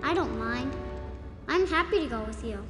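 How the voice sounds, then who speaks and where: An actor voicing a timid, gentle little boy who speaks hesitantly in a video game. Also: sits high, animated, moderate pace, reverberant, in a large hall, music in the background. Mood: tentative. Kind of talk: video game character acting.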